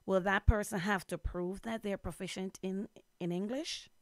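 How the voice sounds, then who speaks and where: A woman's voice: mid-range voice, steady tone, normal speed, no echo. A middle-aged woman from Jamaica, co-hosting a radio discussion and asking questions. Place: small radio studio indoors. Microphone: studio microphone on arm, headset.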